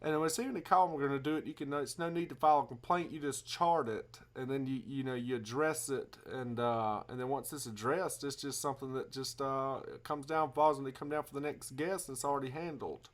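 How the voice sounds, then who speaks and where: A man's voice: medium pitch, brisk, few pauses, lightly acted. A man with a Southern US accent playing a folksy, muddled hotel guest who rambles in double-talk on a prank phone call. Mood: muddled, rambling. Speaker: prank caller.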